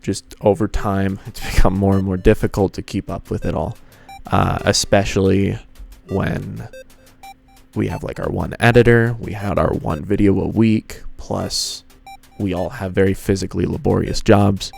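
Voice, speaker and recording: A man's voice: sits low, steady tone, moderate pace, gravelly, darkish tone, faint music behind. A man hosting a fan fiction reading and narrating in a casual style. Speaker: host and fan fiction reader.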